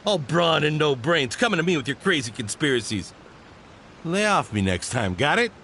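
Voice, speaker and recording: A man's voice: on the high side, very animated, moderate pace, bright, forceful tone, a little hiss or hum, heavily acted. A man voicing an indignant, flustered merchant in a video game, stammering and mouthing off. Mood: scornful. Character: indignant, blustering merchant man accused of hoarding.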